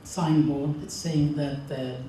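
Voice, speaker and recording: A man in his thirties with a Lebanese accent, a native Arabic speaker, gives an earnest, halting personal speech to a congregation. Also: fairly high pitch, fairly even intonation, mellow. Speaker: church member giving a testimony. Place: large indoor church hall. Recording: very echoey church, distant mic, faint noise.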